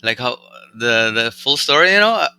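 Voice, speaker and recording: A young man with a Norwegian accent, a rapper being interviewed, chatting in a relaxed, rambling way with lots of fillers. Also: mid-range voice, normal speed, clear, resonant tone, dry recording. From Norway. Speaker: rapper guest. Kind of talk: casual conversational interview.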